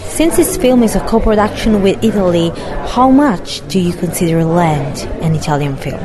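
A woman interviewer asking measured, prepared questions about a film. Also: lowish voice. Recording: crowd noise behind.

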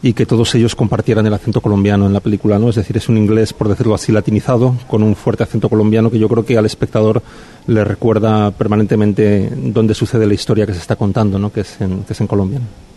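A middle-aged man speaks in a low, mellow voice. His delivery is flat and reflective, full of pauses, restarts and little tag questions as he answers at a press event. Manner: thoughtful, hesitant, many pauses and restarts. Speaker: film director. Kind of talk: festival press interview.

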